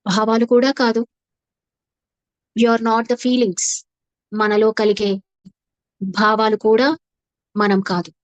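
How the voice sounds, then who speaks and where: Female meditation guide, very measured delivery with long pauses, dry close sound. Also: on the high side, little pitch movement, no room sound, highs cut off. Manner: soothing, unhurried, with long pauses and repeated phrases. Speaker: meditation teacher. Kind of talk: guided meditation, slow instructive narration.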